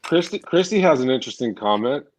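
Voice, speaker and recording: A man's voice: medium pitch, lively intonation, quick pace. A man in his thirties hosting a podcast, reading out viewer comments and joking with the group. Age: 30s. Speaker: podcast host. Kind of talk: casual banter. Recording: audible background noise.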